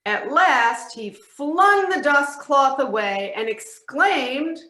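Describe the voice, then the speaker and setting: A woman narrating a scripted storybook tale with deliberate, expressive emphasis. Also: sits high. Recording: echoey room.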